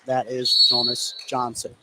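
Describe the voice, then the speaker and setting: A man's voice: medium pitch, monotone, measured pace. An American man doing live radio play-by-play of a basketball game, following the action in running detail. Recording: clear gym noise behind commentary. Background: basketball game ambience.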